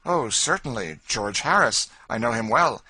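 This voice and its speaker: A man reading an audiobook, voicing a courteous, earnest young gentleman who gives long accounts. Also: mid-range voice, expressive, moderate pace, husky, dry recording, pitched above the performer's own voice, heavily acted, low-quality recording. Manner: earnest, warm-hearted recounting. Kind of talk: audiobook character voice.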